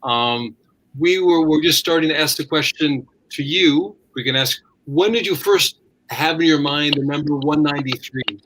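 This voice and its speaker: Higher-voiced man moderating a panel. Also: normal speed, fairly bright tone, slight background noise, poor audio. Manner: friendly, asks questions with repeated restarts. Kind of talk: hosting an online panel.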